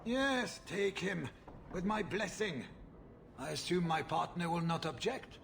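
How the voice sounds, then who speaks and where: A man's voice: high voice, a little slow, vocal fry, a bit bright, slight background noise, heavily acted. An actor voicing a haughty, hot-tempered, ruthless merchant in a video game, pompous and contemptuous in his formal phrasing. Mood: curt, businesslike. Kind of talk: video game cutscene acting.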